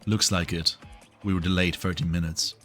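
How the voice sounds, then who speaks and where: A man's voice: deep voice, steady tone, normal speed, fairly bright tone, soft background music. A man playing a dutiful soldier who reports to his commander in an amateur game dub. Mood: matter-of-fact, alert. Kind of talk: English fan dub of a game story.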